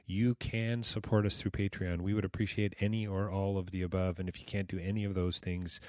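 A man with a very low voice hosts an interview show, stumbling through restarts and fillers as he talks. The sound is dry and close, with no room around it. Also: darkish tone, no room sound. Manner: halting, many restarts and fillers. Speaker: podcast host. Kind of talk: casual interview with promo reads.

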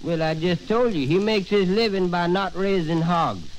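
High-voiced folksy radio comic. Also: male voice, expressive, moderate pace, poor audio. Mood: folksy, matter-of-fact. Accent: American. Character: folksy, literal-minded male comic explaining absurd schemes. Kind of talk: 1930s radio comedy dialogue. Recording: faintly hissy 1930s radio recording.

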